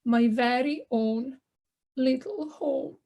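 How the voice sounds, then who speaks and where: Woman as a high-voiced, earnest little mole. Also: slow, dark tone. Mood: wistful. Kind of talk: community audio play acting. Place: living room, recorded over video call.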